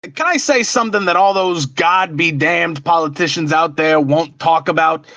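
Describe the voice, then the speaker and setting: A man's voice: a little high, moderate pace, bright, forceful tone, no room sound. A man playing an agitated, paranoid, folksy caller who rants and rambles in an amateur radio play. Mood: agitated, insistent. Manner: ranting, rambling, folksy ungrammatical talk. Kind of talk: amateur radio drama acting.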